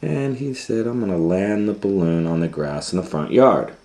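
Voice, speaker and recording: A man's voice: sits low, normal speed, a little mellow, a little hiss or hum. A man reading a children's storybook aloud as a storytime host, retelling it informally with musing asides. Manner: improvised asides and musing hums.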